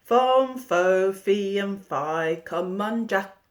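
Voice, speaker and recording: Woman voicing a kindly giant, drawn-out rhyming chant, big and booming for a storybook. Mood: friendly. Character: huge gentle polite giant, friendly.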